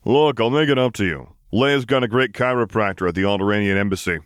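A man's voice: fairly low pitch, fast talker, a bit bright, clean tone, no echo, studio quality, pitched above the performer's own voice, close to an everyday voice. Man acting a brash, drawling space pilot. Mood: casual, teasing. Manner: drawling, slangy, offhand. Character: brash, wry, streetwise male starship pilot, gruff and casual.

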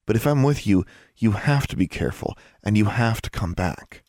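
A man's voice: on the low side, expressive, normal speed, darkish tone, no room sound, clean studio sound. A man voicing a bold, stubborn thirteen-year-old witch in an audiobook story, defiant and insistent.

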